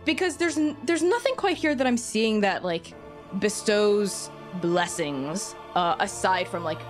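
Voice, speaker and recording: A woman talking casually and enthusiastically as a podcast guest and game master, informal and animated. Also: medium pitch, unhurried, faint music behind.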